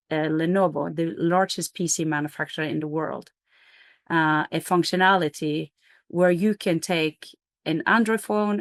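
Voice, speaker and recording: A woman's voice: fairly low pitch, dry recording, studio quality. A middle-aged woman from Norway, a company CEO, explaining her business in an interview with energy, fillers and restarts.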